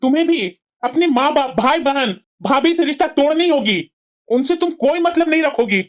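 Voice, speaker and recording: A woman plays a young cartoon husband who lays down the law to his wife and her family. The voice is fairly high for him, firm and lecturing, and the recording is dull and lo-fi. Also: lively intonation, normal speed. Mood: stern. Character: earnest young husband and dutiful son. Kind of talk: cartoon voice acting.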